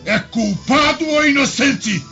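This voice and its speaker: A man's voice: very high voice, slow, creaky, bright, forceful tone, full character voice. An actor playing an arrogant, vengeful Roman governor in an audio drama, pompous and menacing as he declaims to aides and crowds. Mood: furious, accusing. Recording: dull lo-fi audio, music behind. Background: background music.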